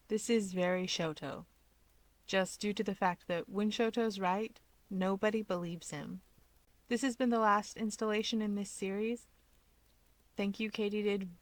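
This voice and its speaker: Woman, casual chatty outro, medium pitch, audiobook reader, dry sound. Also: fairly even intonation, normal speed, no echo. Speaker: fan fiction narrator.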